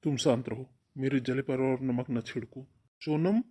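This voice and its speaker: A man's voice: mid-range voice, normal speed, mellow, natural voice. A man playing a stern, domineering older brother in a cartoon family melodrama, scolding and giving orders. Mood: irritated. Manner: scolding, commanding.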